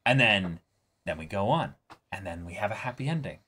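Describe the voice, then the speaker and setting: A man's voice: lowish voice, lively intonation, fairly quick, sharp tone, husky. A man in casual discussion as co-host of a reaction show, thinking aloud and hedging as he goes. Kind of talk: casual discussion of an anime episode.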